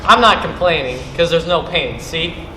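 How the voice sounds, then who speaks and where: A man playing a joking, battered high school football player, wry and comic, in an amateur stage play. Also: expressive, moderate pace, lightly acted. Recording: echoey stage with clear noise.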